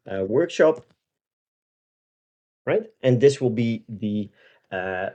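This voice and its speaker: A man in his forties presenting a software tutorial step by step, often checking in as he explains. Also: medium pitch. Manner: explanatory, step by step, with frequent "right?" check-ins.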